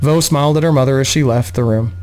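A man's voice: medium pitch, fast, darkish tone. A man in his thirties reading a fantasy story aloud as dramatic audiobook narration, close to a desk microphone. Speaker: reader of a play-by-post game journal. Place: small home room, indoors.

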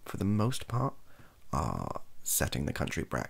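British man, thirties, soft-spoken, husky, lively intonation, halting, improvised rambling, close-up ASMR-style talk. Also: rumbling low. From United Kingdom. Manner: hesitant, halting, self-correcting. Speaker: ASMR video creator. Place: small indoor home room.

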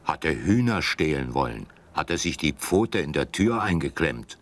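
A man's voice: rumbling low, sing-song, moderate pace. A man narrating a scripted children's story as the storyteller.

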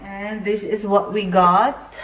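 A woman's voice: mid-range voice, flat delivery, slow, fairly bright tone, a little hiss or hum. A woman from the Philippines with a Filipino accent, chatting casually in a family vlog as a mother talking to her children. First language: Filipino language. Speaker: vlogger and mother. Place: shops and a home kitchen, handheld camera.

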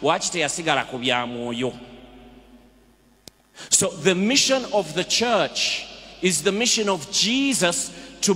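A Ugandan-accented man preaches from the pulpit, his voice sitting a little high for a man. He drives his points with rhetorical questions and urgent exhortations. A long church reverb trails every phrase. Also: fairly bright tone. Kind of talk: sermon. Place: large church.

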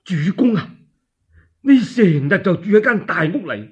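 A male storyteller acts an urgent, loyal general in a radio drama. He is insistent and alarmed, his raised, husky voice leaping about. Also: sits high, sing-song, unhurried, darkish tone, highs cut off, pitched above the performer's own voice, full character voice. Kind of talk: one-man Cantonese radio storytelling drama.

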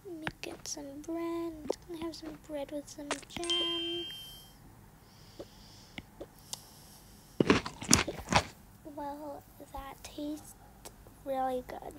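Woman doing a little kid character, high n slow, kinda whiny and unsure, really noisy audio. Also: heavily acted. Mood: puzzled, mild. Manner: hesitant, drawn-out, mildly whiny. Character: hesitant, put-upon little girl left with babysitter. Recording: noisy home recording with clicks.

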